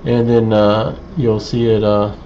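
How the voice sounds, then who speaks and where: Male presenter for an informal technical walkthrough: subdued, even tone, hesitant pacing with pauses, slightly noisy lo-fi sound. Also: on the low side. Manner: halting, hesitant delivery with many pauses. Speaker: presenter, radio hobbyist. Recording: dull, lo-fi sound with faint background noise.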